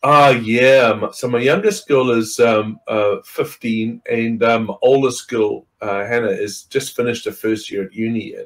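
A man's voice: medium pitch, steady tone, unhurried, hardly any pauses. A middle-aged man from Zimbabwe, a podcast guest chatting casually on a video call from home, rambling with many fillers and restarts. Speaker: podcast guest, wreck diver. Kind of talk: casual chat. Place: home room with window.

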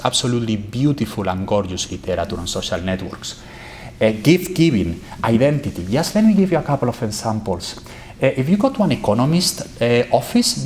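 Animated man at a podium. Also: mid-range voice, slight background noise. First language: Spanish. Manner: animated, gesturing, emphatic. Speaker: lecturer. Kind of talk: academic conference talk. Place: lecture hall, at a podium. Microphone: podium microphone.

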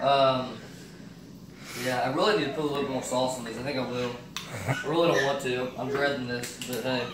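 A man's voice: medium pitch, steady tone, fairly quick. A young man casually talking through his meal prep at home, thinking aloud with lots of fillers. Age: young adult. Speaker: fitness cooking vlogger. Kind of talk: casual cooking demo. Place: home kitchen, indoors. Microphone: far camera on a stand. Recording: echoey kitchen, far-off camera mic, noisy.

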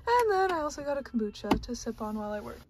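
A woman's voice: high voice, very animated, quick pace. A woman, chatting informally to camera like a student vlogger. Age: 20s. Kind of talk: casual chat to camera, daily vlog. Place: parked car and home kitchen. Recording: background noise in car and kitchen.

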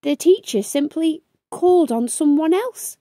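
A woman reading a children's picture book aloud in an expressive, careful storytelling manner. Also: sits high, unhurried, no room sound, clean studio sound. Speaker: storytime reader.

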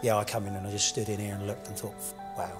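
A man's voice: on the low side, little pitch movement, fast talker, loud music behind the voice. A man, talking casually as an interviewee. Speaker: interviewee, builder. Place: large barn interior.

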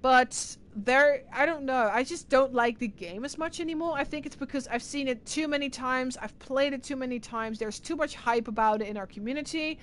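A woman's voice: high-pitched, moderate pace, hardly any pauses, fairly bright tone. A young woman with an Irish accent, chatting casually on a livestream from a room at home, rambling as she answers chat messages. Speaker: streamer.

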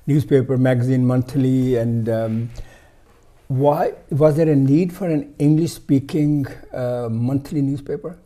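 A man's voice: medium pitch, unhurried, warm tone. An older man hosting a TV studio interview, asking simple questions in slightly non-native English with frequent murmured acknowledgements. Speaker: TV interviewer, host.

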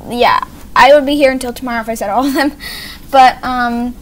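A woman's voice: high-pitched, brisk, poor audio. A young female vlogger chatting casually in a rambling, self-correcting way as she hosts a home tour video. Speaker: host of a doll video channel. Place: small home playroom, indoors. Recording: noticeable background noise, amateur camera audio.

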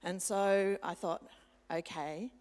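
A woman's voice: medium pitch, steady tone, slow, a little mellow. A woman, a scripture teacher and school chaplain, chatting animatedly on stage and acting out classroom moments. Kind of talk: casual interview on stage. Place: church sanctuary. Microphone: handheld mic. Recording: long church hall reverb.

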